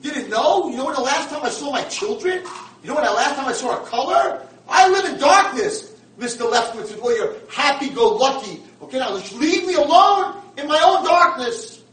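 A miserable, embittered old man, acted out by a male storyteller, lashes out in a loud, aggrieved voice. The pitch is pushed high and swings hard, heard at a distance in a roomy space. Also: expressive, moderate pace. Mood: bitter, anguished. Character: miserable, bitter old blind man in nursing home. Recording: roomy echo, distant mic, some background noise.

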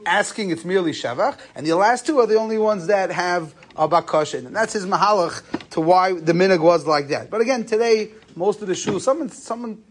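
A man's voice: high-pitched, brisk, hardly any pauses, slight background noise. A man gives an informal lecture on religious texts. He reasons aloud in questions and answers, dropping Hebrew terms into his sentences as he goes. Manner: question-and-answer explaining, Yeshivish phrasing with Hebrew terms mixed in. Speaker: rabbi giving a lecture.

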